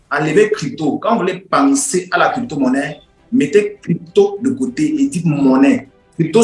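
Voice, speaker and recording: A man, an English speaker, giving an informal lecture in an emphatic, repetitive way with rhetorical questions. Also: on the high side. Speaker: online course instructor. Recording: roomy echo with music behind.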